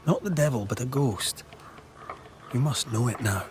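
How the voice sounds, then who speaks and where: A man's voice: medium pitch, lively intonation, normal speed, noisy background, close to an everyday voice. A man voicing a blunt, seasoned ghost hunter with a Scottish accent and a dry, probing manner in a video game. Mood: probing, calm. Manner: dry, probing, plain-spoken.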